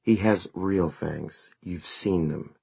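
A man's voice: low voice, slow, gravelly, darkish tone, slightly rough, no echo, exaggerated voice. A man voicing an irritable, blunt teenage girl in an audiobook, curt and scornful.

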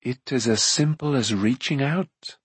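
Man voicing a cold, imperious enchantress, swinging high and low. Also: a little slow, breathy edge, no echo. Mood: cold, menacing. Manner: haughty, commanding, archaic formal speech. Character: imperious, cunning, cruel female enchantress, coldly threatening. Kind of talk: audiobook character acting.

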